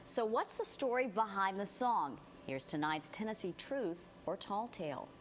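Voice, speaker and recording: A woman's voice: medium pitch, animated, moderate pace, a little hiss or hum. A woman reporter reading scripted narration for a television news feature. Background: music.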